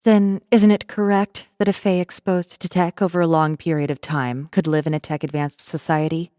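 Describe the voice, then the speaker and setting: A woman playing a guarded, secretive rebel messenger who narrates an audiobook in an intense, measured first-person style. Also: deep voice, little pitch movement, warm tone, dry recording, studio quality, lightly acted. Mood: probing, curious.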